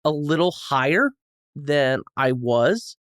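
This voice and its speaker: A man's voice: on the high side, expressive, slow, a bit bright, dry recording, studio quality. A man chatting casually and comically as a podcast guest, rambling and self-deprecating. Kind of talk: casual comedic chat.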